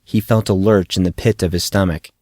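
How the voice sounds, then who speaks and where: A man's voice: low-pitched, fairly even intonation, fairly quick, a little mellow, no room sound, studio quality. A man reading fiction aloud as a scripted audiobook narrator.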